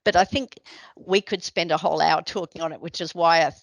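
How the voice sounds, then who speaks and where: A woman's voice: fairly low pitch, steady tone, a little fast, creaky, no room sound. A woman giving a webinar presentation on a video call, explaining things in a practical, conversational way with frequent fillers. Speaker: presenter, addiction medicine specialist.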